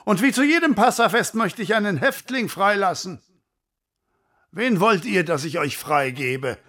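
A man's voice: high-pitched, animated, measured pace, sharp tone, full character voice. A man playing a cold, imperious biblical ruler in a radio drama, with declamatory delivery. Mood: imperious questioning. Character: Roman governor and high priest in biblical passion, cold, imperious.

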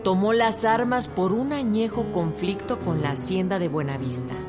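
A woman's voice: medium pitch, normal speed, loud music behind the voice. A woman with a Mexican accent reading scripted historical narration as a radio narrator.

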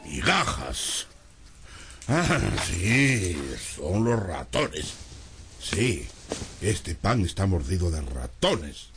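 A pompous miserly priest, wildly swooping voice. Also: male voice, low-pitched, big pitch swings, extremely slow, exaggerated voice. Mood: suspicious. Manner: pompous, exaggerated, exclamatory. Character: stingy, pious, gullible priest master, grumbling and pompous. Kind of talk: radio drama acting. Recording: studio radio drama with music bed.

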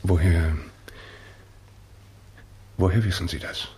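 An actor playing a stern, imperious old colonel with a gruff, husky, commanding voice in a 1960s German radio play. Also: male voice, low-pitched, steady tone, slow, a little mellow, slight background noise. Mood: grudging, shaken. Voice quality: husky, gravelly.

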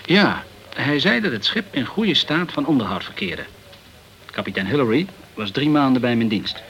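Newspaperman, courteous and talkative, mid-pitched voice, radio drama. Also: lively intonation, normal speed, creaky, a bit bright, lo-fi sound, a little hiss or hum. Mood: grave, informative. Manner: courteous and chatty, peppered with French words. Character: polite, talkative French journalist in the tropical West Indies. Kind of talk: Dutch radio play acting.

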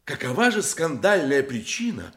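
An actor playing a pompous, indignant defence lawyer, declaiming theatrical rhetoric with drawn-out words in a satirical radio play. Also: male voice, a little high, big pitch swings, a bit bright. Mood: accusing, outraged.

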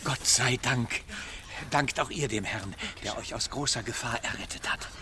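A man's voice: mid-range voice, normal speed, natural voice. An actor playing a devout, fatherly friar in a radio play, solemn, urgent and consoling. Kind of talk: German radio play acting. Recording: studio radio play over very loud music.

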